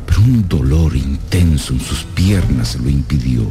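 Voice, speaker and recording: A man with an extremely low voice narrates a radio drama. His phrasing is measured and suspenseful, with clear music playing behind him. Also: lively intonation.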